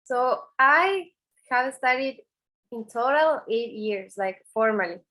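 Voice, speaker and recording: A woman speaks in a fairly high voice. She answers earnestly, often restarting her sentences and filling pauses with "eh". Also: lively intonation, bright, forceful tone. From Ecuador. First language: Spanish. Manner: earnest, many restarts and "eh" fillers. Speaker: artist guest. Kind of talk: interview answers.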